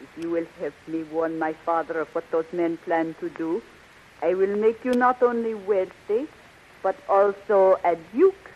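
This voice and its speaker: An actress playing a scheming, imperious young princess in a 1930s radio serial. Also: female voice, fairly low pitch, flat delivery, a little slow, close to an everyday voice. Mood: conspiratorial. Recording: muffled, hissy 1930s radio recording.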